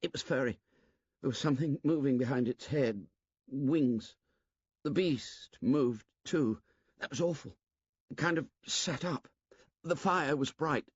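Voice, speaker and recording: Man voicing a spooked schoolboy twin, urgent and excited, pitched high, muffled dry recording. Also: unhurried, pauses often, husky, dry recording. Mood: frightened. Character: frightened schoolboy twin, breathless storyteller.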